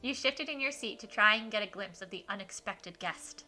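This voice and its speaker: A woman livestreaming a game, switching between informal chat with viewers and expressive read-aloud in a giggly, animated manner. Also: medium pitch, normal speed, over loud music. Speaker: livestreamer hosting a visual novel playthrough.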